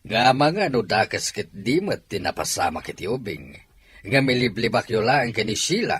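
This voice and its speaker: A man's voice: lowish voice, big pitch swings, normal speed, a bit bright, breathy edge, heavily acted. A man acting a troubled father and master of the house in a melodramatic audio drama. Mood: stern, suspicious.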